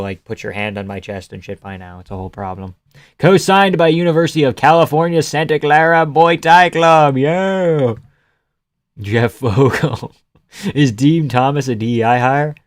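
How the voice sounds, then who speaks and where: Sarcastic young man with a smooth voice. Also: mid-range voice, no room sound, studio quality. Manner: sarcastic, offhand, swears and laughs often. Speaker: live stream host. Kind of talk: casual live stream chat reading comments.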